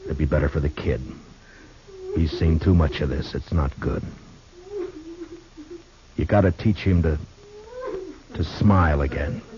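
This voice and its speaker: An actor with an American accent playing a grave, compassionate, weary frontier marshal in a 1950s radio western, sober and plain-spoken. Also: male voice, a bass voice, unhurried, pauses often, noisy background. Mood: gently urging. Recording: muffled 1950s radio recording.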